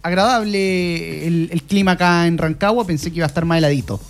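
A man with a Chilean accent giving a conversational football report in measured, somewhat hesitant phrases. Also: on the high side, fairly even intonation.

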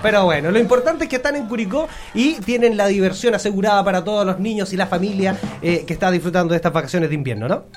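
A Chilean man hosting a live radio interview in an animated, chatty, jokey manner. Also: sits high, quick pace, hardly any pauses. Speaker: radio host. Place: radio studio. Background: music.

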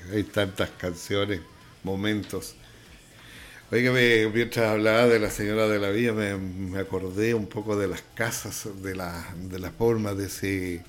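A man hosting a radio show, chatting in a relaxed, reminiscing way. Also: lowish voice, a little slow. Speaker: radio host. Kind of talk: casual radio chat.

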